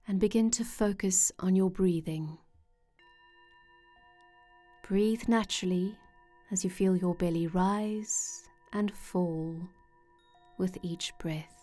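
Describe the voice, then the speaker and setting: A woman guides a meditation at a very slow, unhurried pace. Her voice is soft-toned and mellow, sitting at a medium pitch. She speaks gently and soothingly, leaving measured pauses, over faint music with singing-bowl tones. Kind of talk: guided meditation narration, scripted.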